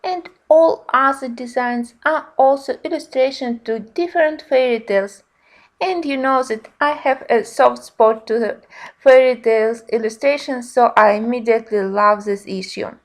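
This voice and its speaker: A woman giving a casual review narration in simple, slightly halting non-native phrasing. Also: on the high side. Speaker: colouring book reviewer.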